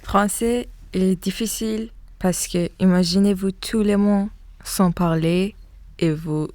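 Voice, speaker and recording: A young woman in her late teens gives a thoughtful, measured personal testimony as a student. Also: medium pitch, fairly even intonation, darkish tone, no echo.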